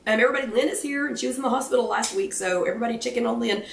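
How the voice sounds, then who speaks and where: An American woman with a hoarse, failing voice, chatting casually and apologetically as she hosts a livestream. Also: on the high side, very fast. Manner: chatty, apologetic, self-deprecating. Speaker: host of a live weight-loss chat. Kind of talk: casual livestream chat greeting viewers. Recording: roomy echo, distant microphone.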